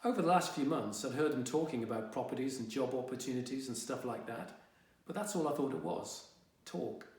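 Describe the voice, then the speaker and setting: A man's voice: medium pitch, lively intonation, fairly quick, a little mellow, far from the mic, close to an everyday voice. Echoey man as a sullen teenage boy narrator. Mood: wry, uneasy. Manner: wry, dramatic, exasperated teenage grumbling. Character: sullen, indignant fifteen-year-old boy telling his story. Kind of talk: first-person young adult audiobook reading. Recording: very echoey, reverberant room.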